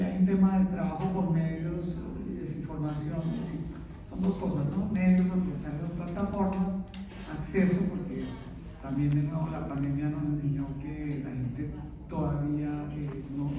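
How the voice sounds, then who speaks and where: A man moderating a conference session, rambling in trailing phrases with frequent restarts. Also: a little high. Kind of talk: conference panel remarks. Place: large conference hall. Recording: echoey hall from far off, audience noise.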